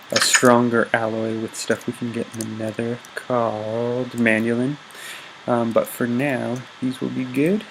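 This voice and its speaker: A man's voice: mid-range voice, vocal fry, a little hiss or hum, poor audio. A young man with a stuffy, congested voice, giving casual upbeat gaming commentary full of ums and self-corrections. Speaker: gaming YouTuber. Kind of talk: casual Let's Play commentary.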